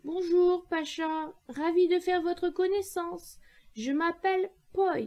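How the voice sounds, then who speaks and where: A woman's voice: very high-pitched, fairly even intonation, slow, dark tone, highs cut off, pitched above the performer's own voice, full character voice. A woman voicing a curious, polite and slightly shy little girl in a children's story.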